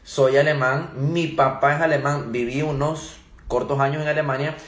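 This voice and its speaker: A man's voice: fairly high pitch, steady tone, normal speed. A man speaking as a motivational coach in a live Q&A, emphatic and instructive, repeating key phrases. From Venezuela, born in Germany. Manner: emphatic, didactic, repeats key phrases, rhetorical tag questions. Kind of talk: motivational talk, answering audience questions live. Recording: roomy, echoey livestream sound.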